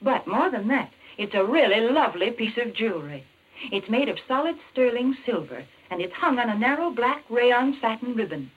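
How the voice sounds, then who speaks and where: Animated high-voiced woman in vintage radio advertising. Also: moderate pace, husky. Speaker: radio commercial spokeswoman. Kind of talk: 1940s radio advertising pitch. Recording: tinny, band-limited 1940s radio recording.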